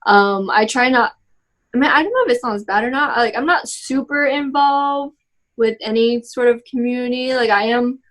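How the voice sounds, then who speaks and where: A woman's voice: a little high, moderate pace, fairly bright tone. Chatty young woman, filler-heavy. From Texas. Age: 20s. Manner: casual, many "like" and "um" fillers, restarts. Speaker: interviewee. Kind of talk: oral history interview.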